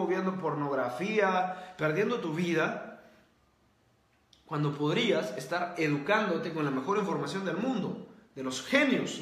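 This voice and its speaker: A man with a high voice lectures viewers in a motivational livestream, hammering his points home by repeating them. His voice rings out in a roomy, echoing space. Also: pushed and bright. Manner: preachy, repeats key points. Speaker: host. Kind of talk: motivational livestream talk, reading comments.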